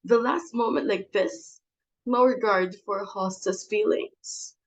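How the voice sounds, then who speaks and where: An imperious society hostess, acted by a woman in a stage comedy reading. She is snobbish and quick to exasperation, scolding and fussing in a theatrical way at a middling pitch. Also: natural voice, poor audio. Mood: indignant.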